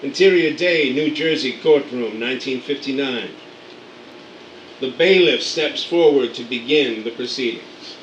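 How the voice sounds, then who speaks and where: A man's voice: medium pitch, measured pace, far from the mic, faint music behind. Man narrating in an echoey room. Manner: dramatic, wry storytelling. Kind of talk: scripted narration reading scene directions.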